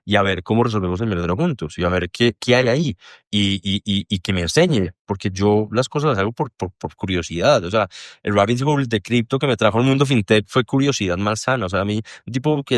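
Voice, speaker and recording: A man's voice: on the low side, no room sound, clean studio sound. A young man with a Colombian accent, a podcast guest talking candidly and at length, rambling and self-deprecating, swearing freely. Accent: Colombian Spanish. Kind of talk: candid casual conversation.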